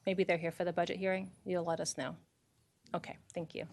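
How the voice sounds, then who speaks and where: A woman's voice: fairly low pitch, level tone, a little fast, creaky, darkish tone. A woman mayor chairing a city council meeting, calling speakers and reading procedural rules politely.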